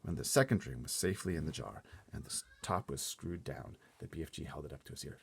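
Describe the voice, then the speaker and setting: A man reading a children's story aloud as an expressive narrator. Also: a bass voice, quick pace, gravelly, husky. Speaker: storybook reader.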